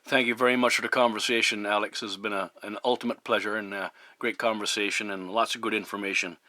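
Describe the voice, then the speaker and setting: A man talks in a low, forceful voice, close and dry. He sounds like a radio hobbyist in casual conversation, measured and polite. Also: steady tone, dry recording. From Newfoundland, Canada. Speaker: CB radio operator.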